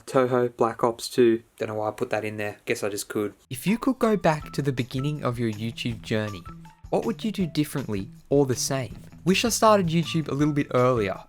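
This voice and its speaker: A man's voice: medium pitch, animated, fairly quick, soft background music. A man in his early twenties with an Australian accent, chatting casually and playfully as he answers viewer questions. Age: early 20s. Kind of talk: casual Q&A video chat.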